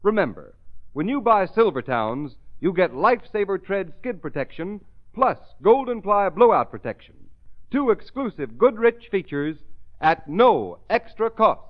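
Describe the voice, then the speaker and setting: Old time radio announcer guy, animated highish voice, salesy n formal, muffled 30s broadcast. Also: unhurried. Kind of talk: 1930s scripted radio sponsor read. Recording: muffled 1930s radio recording.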